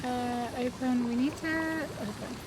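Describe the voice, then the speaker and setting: A woman's voice: fairly high pitch, fairly even intonation, unhurried. A woman doing casual let's-play commentary on a video game, informal and thinking aloud as she plays. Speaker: gaming YouTuber. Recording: clear background noise.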